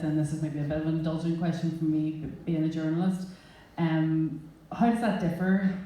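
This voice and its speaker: A woman's voice: sits low, dark tone. A woman, a journalist hosting a live panel, asking long rambling questions. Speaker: host, journalist. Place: large indoor venue before an audience. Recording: echoey hall from far off.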